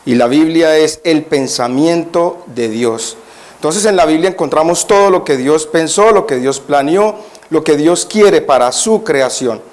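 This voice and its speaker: A man's voice: fairly high pitch, a little slow, a little mellow. A man preaching as a guest in a church, at first nervous, then emphatic, often putting questions to the congregation. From Colombia. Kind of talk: church sermon.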